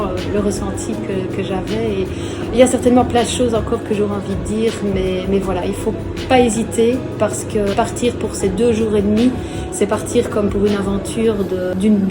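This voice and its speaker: A woman speaking casually as a retreat participant giving her testimonial, chatty, with many hesitations and restarts. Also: mid-range voice.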